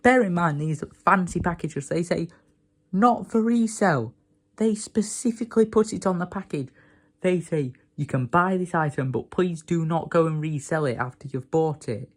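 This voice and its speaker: A young man from England, hoarse from a sore throat, rambling and grumbling in a casual vlog rant. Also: on the high side, moderate pace. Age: young adult. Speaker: vlogger.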